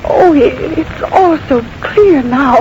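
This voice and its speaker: An actress playing an anxious, devoted secretary in 1940s radio drama, earnest and melodramatic. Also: female voice, high voice, animated, slow pace, darkish tone, dull audio. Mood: urgent pleading. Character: anxious, devoted female secretary, fearful and pleading. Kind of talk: 1940s radio acting, dramatic first-person narration. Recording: noisy vintage 1940s radio recording.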